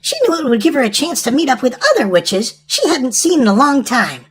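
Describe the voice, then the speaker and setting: A woman reading a storybook aloud to young children in an animated, sing-song way. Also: sits high, fairly quick. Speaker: children's storytime host and reader.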